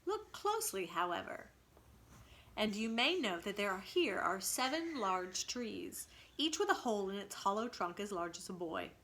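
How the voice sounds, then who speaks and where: A woman with a bright, forceful voice at a medium pitch reads a story aloud. She sounds like a teacher, expressive and instructive in how she delivers the text. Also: normal speed, slight background noise. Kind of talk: storybook read-aloud with explanations.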